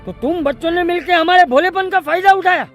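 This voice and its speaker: An actor as a wry, authoritative old grandfather in a cartoon. The voice is unusually high, and the delivery is solemn and lecturing, with music playing behind. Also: fairly quick. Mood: impressed. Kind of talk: animated comedy cartoon dialogue.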